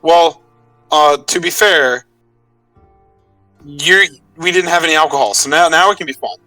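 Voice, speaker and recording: A man chats as a player in a tabletop game, informal and a little hesitant. His slightly high voice sounds a bit away from the mic, with faint music behind. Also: a little slow, sharp tone, no room sound, recorded from a distance, low-quality recording. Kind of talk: casual tabletop roleplay chat.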